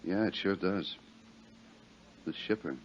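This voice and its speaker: An American actor playing a plain-spoken, puzzled but sympathetic harbor police inspector in a 1950s radio drama. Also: male voice, sits low, slow pace. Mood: puzzled, surprised. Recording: muffled 1950s radio recording.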